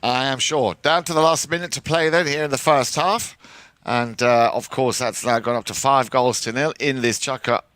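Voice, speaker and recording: A man's voice: medium pitch, fairly even intonation, normal speed, fairly bright tone, no echo. A man giving live commentary on a polo match as the main play-by-play commentator. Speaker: sports commentator.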